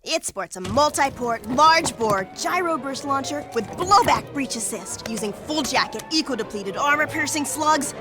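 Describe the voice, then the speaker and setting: A woman playing a girlish, bubbly gun designer in a video game, doting with baby talk yet able to turn menacing. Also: high voice. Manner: baby talk mixed with rapid technical gushing. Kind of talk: video game cutscene acting.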